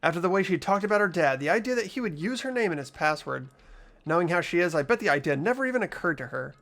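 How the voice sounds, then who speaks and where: A man playing an earnest, hesitant, anxious high school boy in an amateur video game dub, narrating and speaking in character. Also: expressive, fast talker, fairly bright tone. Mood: thoughtful, hesitant. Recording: music behind the voice.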